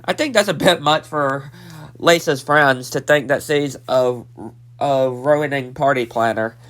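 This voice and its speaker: A man's voice: mid-range voice, unhurried, a bit bright. A nineteen-year-old man with a stuffed-up nose, casually recapping a cartoon episode and repeating himself with lots of fillers. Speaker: episode reviewer.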